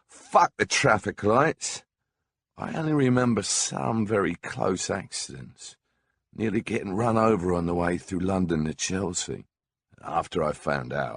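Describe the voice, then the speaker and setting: Deep-voiced, unhurried man reading a memoir. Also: husky, dry recording, clean studio sound. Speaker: audiobook narrator. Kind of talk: first-person memoir audiobook narration.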